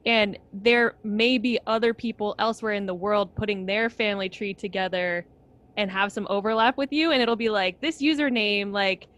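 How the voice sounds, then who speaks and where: A woman chatting casually in a relaxed conversation, sharing her own experience. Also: mid-range voice, normal speed. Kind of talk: casual chat.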